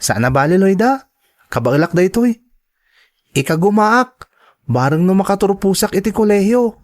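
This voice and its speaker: An actor with a Filipino accent playing an earnest, determined young college student in a radio drama, with an imploring manner. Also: male voice, high voice, animated, moderate pace. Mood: earnest, confiding. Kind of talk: Ilocano radio-style drama acting.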